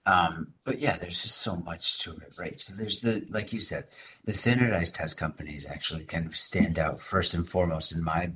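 Podcast guest: man with a very low voice, an emphatic teacher who keeps explaining, over faint background noise. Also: moderate pace, bright, forceful tone. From Massachusetts. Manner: emphatic, explanatory, fillers like "right?" and "like". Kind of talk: conversational podcast interview.

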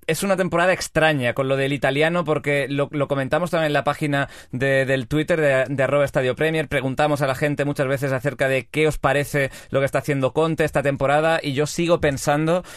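A man's voice: fairly high pitch, fairly even intonation, brisk, no echo, studio quality. A man giving opinionated football analysis on a sports talk show. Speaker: football pundit.

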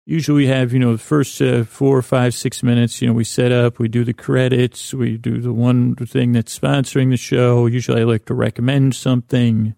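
A man hosting a bedtime podcast, giving a meandering, halting, self-deprecating monologue that keeps trailing off mid-thought. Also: medium pitch, little pitch movement, creaky, dark tone, dry recording, studio quality. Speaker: podcast host.

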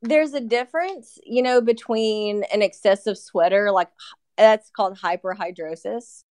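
Chatty woman in her thirties, smooth and dry. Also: mid-range voice, moderate pace, darkish tone, no room sound. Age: 30s. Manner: chatty, explains with many fillers and "you know". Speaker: nurse practitioner guest. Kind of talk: casual podcast interview.

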